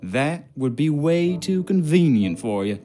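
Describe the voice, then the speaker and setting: Mocking ship's bosun, period game drama, commanding, fairly high male voice, very animated. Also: unhurried, faint music behind. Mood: mocking, stern. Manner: barking, taunting, commanding. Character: gruff, mocking, bossy bosun on a merchant ship. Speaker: actor in a narrative adventure game.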